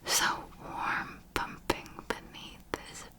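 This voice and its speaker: Seductive female vampire played by a woman, predatory, whispered ASMR storytelling, extremely slow lingering delivery, breathy. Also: lightly acted. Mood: seductive, savoring. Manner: slow, lingering, deliberate phrasing.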